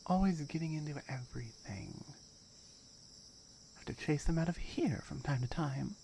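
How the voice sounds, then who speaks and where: A woman's voice: deep voice, animated, normal speed, vocal fry, dark tone, a little hiss or hum. A woman playing a firm, dominant tiger girl in an ASMR roleplay, wry and matter-of-fact as she guards her jungle territory.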